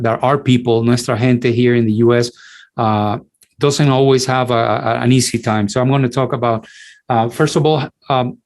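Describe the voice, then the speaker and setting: Middle-aged man, mid-pitched, halting academic lecturer. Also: a little mellow. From Cuba. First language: Spanish. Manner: halting, many restarts and repeated words. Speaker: infectious disease physician, lecturer. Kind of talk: online lecture.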